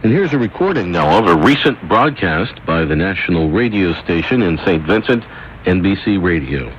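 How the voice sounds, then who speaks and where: A man's voice: sits low, big pitch swings, normal speed, dull audio. A man hosting a radio programme with scripted announcements and narration, heard over a shortwave broadcast. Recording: noisy shortwave radio reception.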